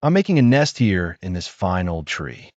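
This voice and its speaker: A man's voice: low voice, very animated, moderate pace, a little mellow, no room sound, highs cut off. A man voicing a cheerful, enthusiastic woodpecker who speaks encouragingly in a children's story.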